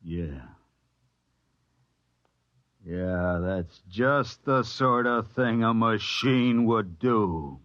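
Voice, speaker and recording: An actor playing a bitter, fatalistic spaceship engineer, sardonic and theatrical, in a 1950s radio drama on an old recording. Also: male voice, fairly low pitch, very slow, long pauses, fairly bright tone, lo-fi sound. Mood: resigned. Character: bitter, fatalistic spaceship engineer, mocking and paranoid about machines.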